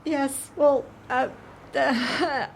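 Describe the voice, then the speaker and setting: A woman playing a distraught, ashamed mother who speaks haltingly and stammers, in an audio drama. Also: high-pitched, steady tone, creaky, a little hiss or hum, heavily acted. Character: distraught, ashamed young mother living in car.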